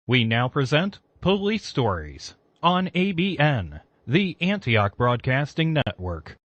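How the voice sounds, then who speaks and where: A man's voice: mid-range voice, big pitch swings, slow pace, fairly bright tone, no room sound. A man reading scripted continuity announcements as a radio network announcer. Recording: slightly dull, dry studio recording.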